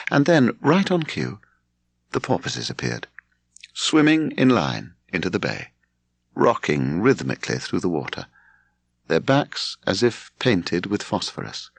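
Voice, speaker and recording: A man with a British English accent reading a literary memoir as an audiobook narrator, in a measured, expressive storytelling manner. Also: fairly low pitch, no echo.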